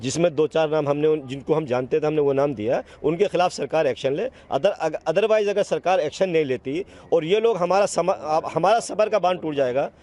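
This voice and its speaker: A man's voice: a little high, normal speed, hardly any pauses, darkish tone. A man giving a spoken statement as a community spokesman.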